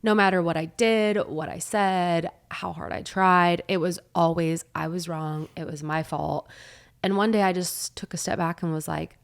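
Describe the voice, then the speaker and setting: Stuffy-nosed young woman, lowish voice. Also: steady tone, normal speed, vocal fry, smooth. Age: young adult. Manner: chatty, earnest, trailing off softly. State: stuffy from a cold. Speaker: podcast co-host. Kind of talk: casual chat with her husband.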